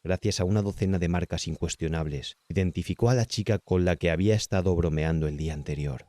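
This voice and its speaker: A male narrator with a Castilian Spanish accent reads a horror tale. His voice is low and mellow, recorded very dry, and his storytelling is measured and carefully articulated. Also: dry recording. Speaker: audiobook narrator.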